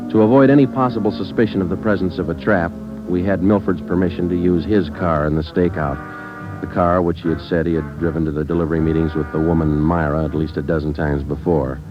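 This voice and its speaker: A man's voice: deep voice, natural voice. An actor playing a terse, businesslike police sergeant narrating his case in a mid-century radio crime drama, clipped and matter-of-fact. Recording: dull old radio broadcast with music.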